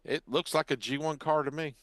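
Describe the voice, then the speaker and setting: A man's voice: a little high, fairly even intonation, brisk, dry recording. A middle-aged man in casual conversation as a podcast host. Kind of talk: casual chat about toy collecting.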